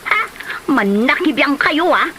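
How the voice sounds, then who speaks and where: A woman's voice: sits high, lively intonation, a little slow, heavy background noise. A woman playing a hot-tempered, resentful character spoiling for a fight in a comedy drama, scolding indignantly in an Ilocano accent. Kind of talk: colloquial comedy drama acting.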